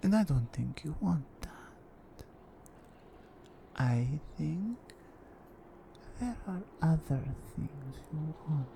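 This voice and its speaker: A menacing Russian crime-family woman, played by a female performer speaks in a mellow, gravelly voice with a Russian accent. She is unhurried, controlled and seductive, as in a close ASMR roleplay. Faint background noise sits behind her. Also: deep voice, lively intonation, full character voice. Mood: seductive, coaxing. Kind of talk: slow, intimate ASMR roleplay acting.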